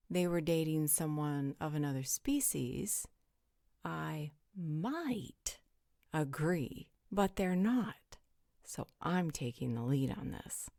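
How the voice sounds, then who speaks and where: An actress playing a bossy, sardonic female vampire in an audio roleplay, with a husky, breathy voice and a commanding, deliberate delivery. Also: low voice, mellow, no room sound, studio quality, exaggerated voice. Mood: assertive, wry.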